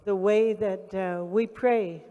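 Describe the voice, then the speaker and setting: A woman with an Oklahoma accent giving a solemn speech on stage at a live event, pausing for an interpreter. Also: medium pitch, fairly even intonation, dull audio. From Oklahoma, USA. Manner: solemn, reverent. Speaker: guest speaker. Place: large event hall on stage. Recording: long reverb of a large hall.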